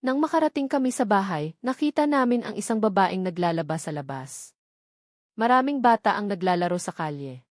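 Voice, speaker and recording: A woman's voice: mid-range voice, animated, fairly quick, no room sound. Female narrator for scripted first-person drama, close dry recording. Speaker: story narrator.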